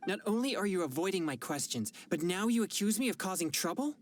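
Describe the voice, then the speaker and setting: A woman playing an earnest young male exorcist in an anime game, speaking in a formal, measured, righteous way. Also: lowish voice, lively intonation, slight background noise, lightly acted. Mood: indignant.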